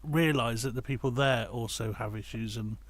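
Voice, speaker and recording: A man's voice: mid-range voice, moderate pace, dry recording. A man taking part in a podcast, speaking in a casual, conversational style. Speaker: podcast participant.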